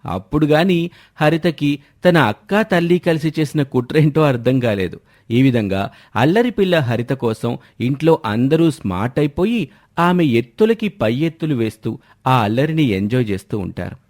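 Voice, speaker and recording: A man's voice: medium pitch, animated, normal speed, a little mellow. A man narrating a scripted moral story as a storyteller.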